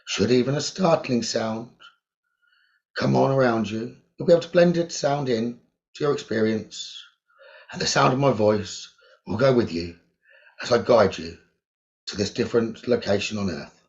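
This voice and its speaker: A man leading a guided meditation, speaking slowly and soothingly, repeating his gentle instructions with long pauses. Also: medium pitch. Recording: dull lo-fi recording.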